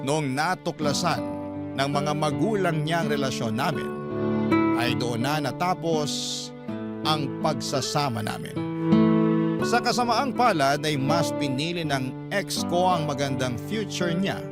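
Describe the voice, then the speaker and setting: A man's voice: fairly high pitch, animated, unhurried. A man voicing a humble married working man who recounts his life in earnest, reflective radio drama narration. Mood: wistful. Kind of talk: first-person Tagalog radio drama narration. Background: guitar music.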